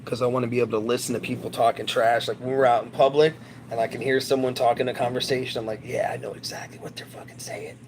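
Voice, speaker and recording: A man's voice: medium pitch, fairly even intonation, fast talker, slight background noise. A man chatting casually to his viewers on a livestream, rambling, boastful and crude. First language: English.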